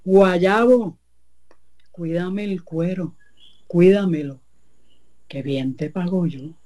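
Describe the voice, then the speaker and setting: A woman voicing a worn-out, scheming witch neighbor with a Puerto Rican accent, whining in a high voice. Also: slow, dark tone. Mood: coaxing.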